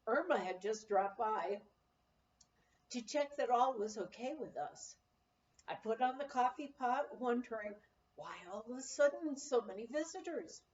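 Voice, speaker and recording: A woman reading a memoir aloud as an expressive storyteller, with lively emphasis. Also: a little high, muffled recording. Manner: acts out dialogue with lively emphasis. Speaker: audiobook reader.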